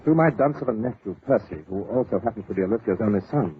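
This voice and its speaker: An actor playing a polished, wryly exasperated lord with an upper-class British accent and a courtly, emphatic manner, in 1940s radio style. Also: male voice, fairly low pitch, animated, fast talker, close to an everyday voice. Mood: wryly grave. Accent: upper-class British English. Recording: tinny old radio broadcast with noise.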